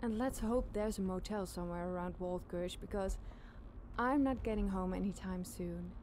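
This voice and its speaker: A woman telling a scary campfire story in a suspenseful, deliberate, dramatic narration. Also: medium pitch, a little mellow, a little hiss or hum. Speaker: podcast storyteller.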